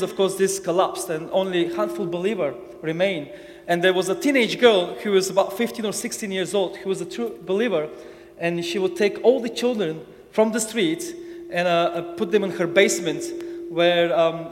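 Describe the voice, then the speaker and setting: A man from Bulgaria, a native Turkish speaker, giving his testimony in English with many halting fillers. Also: high voice. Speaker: church planter. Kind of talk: personal testimony to an audience. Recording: long hall reverb.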